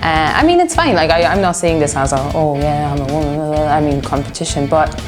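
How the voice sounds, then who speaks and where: A woman's voice: low voice, lively intonation, fairly quick, music in the background. A woman of twenty-one, talking casually and earnestly in an interview, giving her opinions. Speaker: music student and band musician being interviewed.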